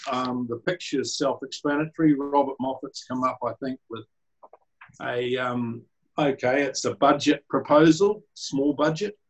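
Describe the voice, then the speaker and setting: A man with a croaky voice, presenting a report to a council over a video call in a chatty, rambling way. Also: mid-range voice, lo-fi sound. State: croaky voice, clearing throat.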